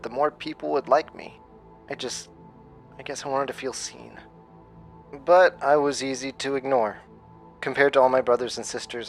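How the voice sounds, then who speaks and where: A man's voice: medium pitch, a bit bright, lightly acted. A man playing a vulnerable young captive in a horror audio drama, speaking hesitantly. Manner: hesitant, halting, subdued. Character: neglected, frightened young man held captive, groggy.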